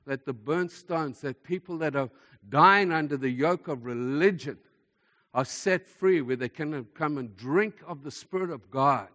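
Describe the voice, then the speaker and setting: Man preaching in a reverberant hall. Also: mid-range voice. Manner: emphatic, repeats phrases for effect, swings between hushed and forceful delivery. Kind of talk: sermon. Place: large hall, speaking to a congregation. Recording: echoey hall with long reverb.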